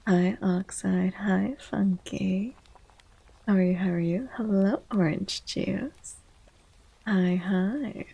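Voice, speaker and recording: A woman livestream host chatting gently in a soft, breathy ASMR voice that often slips into whispers, close to the microphone. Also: mid-range voice. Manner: gentle, unhurried greeting of viewers.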